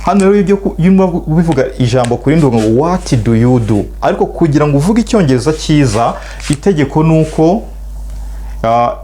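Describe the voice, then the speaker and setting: Rwandan man teaching English phrases slowly. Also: mid-range voice, warm tone, slight background noise. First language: Kinyarwanda. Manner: slow drawn-out model phrases for repeating. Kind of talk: spoken English lesson in Kinyarwanda.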